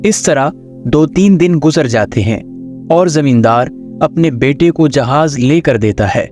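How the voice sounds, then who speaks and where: A man narrates a children's story in a slightly high voice. The reading is scripted storytelling, with faint music in the background. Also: animated, measured pace, a little mellow.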